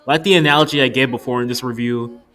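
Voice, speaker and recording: A man's voice: mid-range voice, steady tone, fast talker, fairly bright tone, soft background music. A man in his twenties narrating an opinionated, scripted movie review in an informal way. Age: 20s. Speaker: video reviewer.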